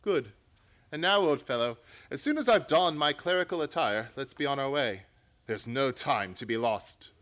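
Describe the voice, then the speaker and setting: A man playing a confident, commanding gentleman detective in a theatrical radio play. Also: medium pitch, expressive, normal speed, natural voice. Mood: brisk.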